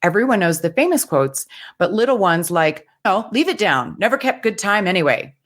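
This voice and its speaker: An American woman co-hosting a podcast, reading a listener email aloud in an upbeat, measured way. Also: mid-range voice, big pitch swings. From United States. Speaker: podcast co-host.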